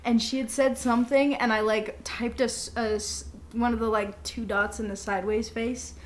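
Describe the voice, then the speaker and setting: A woman's voice: on the high side, fairly even intonation, moderate pace. A young woman co-hosting a podcast in loose, casual chat with a friend, blunt and informal. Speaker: podcast co-host.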